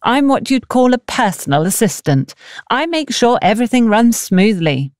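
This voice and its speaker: A woman plays a proud young agency assistant in an audiobook. She is self-assured and persuasive, selling herself and her world. The voice sits at a medium-to-raised pitch in a dry sound. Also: big pitch swings, moderate pace, a little mellow, no echo. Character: proud older village girl working as agency assistant. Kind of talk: audiobook character dialogue.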